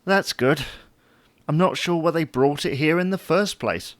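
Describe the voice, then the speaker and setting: A man's voice: on the high side, measured pace, no room sound, close to an everyday voice. A man playing a chatty, fussy, wryly puzzled husband in an audio sitcom. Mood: dry. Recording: noticeable background noise.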